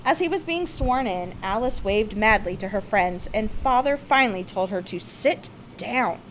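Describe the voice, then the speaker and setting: A woman's voice: mid-range voice, lively intonation, moderate pace, a little hiss or hum, rough recording. A woman reads a children's picture-book biography aloud with theatrical, emphatic expression. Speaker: storybook reader.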